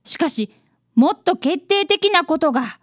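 A woman's voice: extremely high pitch, animated, measured pace, a bit bright, no room sound, clean studio sound, exaggerated voice. A woman playing an earnest, polite eleven-year-old boy apprentice detective in a radio drama, narrating and talking dramatically.

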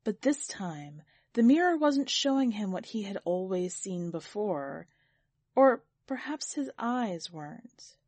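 A woman narrates fiction in an expressive, scripted reading style. Her pitch is average for a woman, and the recording is dry with very little room sound. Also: takes it slowly, no echo. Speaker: podcast editor and story reader. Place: quiet, dry recording space.

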